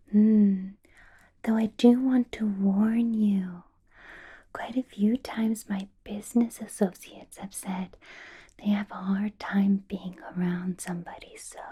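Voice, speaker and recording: Woman doing a vampire boss lady for asmr, super breathy whisper, really slow, silky sweet but kinda menacing. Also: mid-range voice, warm tone. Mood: teasing, predatory. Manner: slow, drawn-out, coaxing, lightly menacing politeness. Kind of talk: ASMR roleplay, whispered personal attention.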